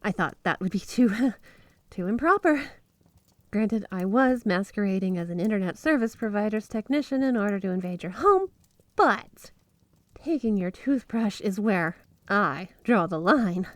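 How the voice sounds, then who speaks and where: A woman's voice: mid-range voice, expressive, no room sound, close to an everyday voice. Woman acting a gushing, obsessively devoted yandere wife, close-miked. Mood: smug, playful. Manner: gushing, breathy giggles, drawn-out emphasis on words. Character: flirty, obsessively devoted yandere wife with magic snow powers. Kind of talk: intimate ASMR roleplay monologue to the listener.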